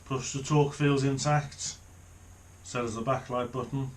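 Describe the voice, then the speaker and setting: A middle-aged man giving casual unboxing commentary, thinking aloud in an unhurried way as he handles things at a desk in a small room. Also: medium pitch, fairly even intonation, a little hiss or hum. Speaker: hobbyist reviewer. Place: small home office, indoors.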